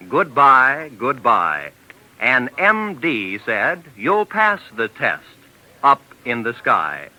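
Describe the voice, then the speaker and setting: A man's voice: medium pitch, bright, forceful tone, poor audio. A man with an American accent reading scripted 1940s radio network announcements in a polished, emphatic way. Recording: vintage 1940s radio recording.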